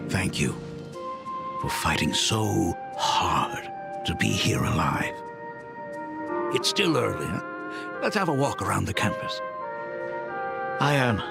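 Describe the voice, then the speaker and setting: An actor playing a gentle, regretful middle-aged father in a video game, speaking earnestly and haltingly. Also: on the low side, creaky, exaggerated voice. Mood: awkward, hesitant. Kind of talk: dramatic video game voice acting. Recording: music under the voice.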